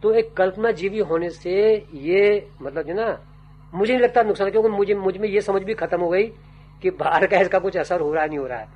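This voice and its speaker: Older male writer, fairly high voice, thoughtful, unhurried interviewee on lo-fi old tape. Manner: slow, musing, trailing off with fillers. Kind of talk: reflective interview answers. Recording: dull old tape with faint hum.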